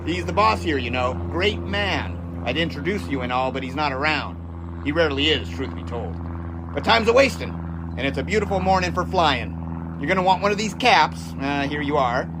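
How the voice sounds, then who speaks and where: Man playing a jaunty 1920s American pilot, higher-pitched. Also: lively intonation, moderate pace, background noise. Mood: jaunty, teasing. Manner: jaunty, boastful, wordy old-fashioned phrasing. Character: confident, folksy 1920s American test pilot. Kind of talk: audio drama dialogue and first-person storytelling.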